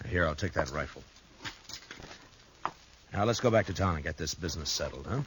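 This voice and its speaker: An actor playing a calm, steady frontier marshal who also narrates, in a 1950s radio drama. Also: male voice, low-pitched, noisy background. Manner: calm, firm, measured. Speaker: narrator and lead. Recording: old radio broadcast with music bed.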